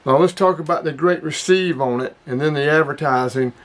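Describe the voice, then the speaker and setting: A middle-aged man talks in a casual vlog style as a hobbyist showing off gear. His voice sits a little higher than most men's. He comes across as folksy and plain-spoken. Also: a little fast. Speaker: hobbyist video host. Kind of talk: casual product review vlog.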